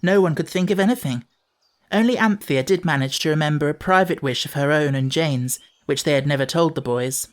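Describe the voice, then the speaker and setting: Low-pitched woman narrating a children's story. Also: fairly quick. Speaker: audiobook narrator.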